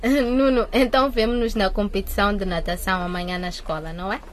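A woman's voice: medium pitch, fairly even intonation, fast, a little hiss or hum, close to an everyday voice. A woman playing a friendly, considerate teenage girl in a radio drama, with a conversational delivery. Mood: friendly, curious.

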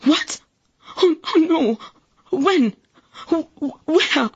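An actress playing a busy, easily shaken female election candidate in a radio drama, polite but brisk and expressive. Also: high voice, no echo, dull audio, full character voice. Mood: shocked, distressed.

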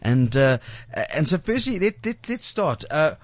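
A man's voice: on the high side, very animated, a little slow, no echo. A man hosting a casual studio interview, chatty and teasing, often repeating words and restarting his sentences as he goes. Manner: chatty, teasing banter with guests. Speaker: radio show host. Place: radio studio. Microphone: studio microphone.

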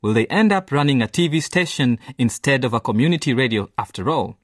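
Male narrator for educational radio drama intros: inviting, lively intonation, dry studio sound, storytelling delivery. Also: mid-range voice, brisk, dry recording. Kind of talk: scripted narration.

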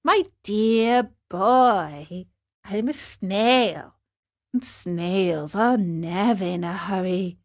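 A woman voicing a calm, peaceful female snail who is serenely unhelpful, in a children's storybook. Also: mid-range voice. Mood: calm, unhurried. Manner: calm, peaceful, slow, deliberate.

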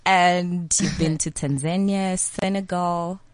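A woman hosting an interview, asking questions with repeated starts and giving steady murmured agreement. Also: fairly low pitch, slow, no echo. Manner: affirming murmurs, repeats words when asking.

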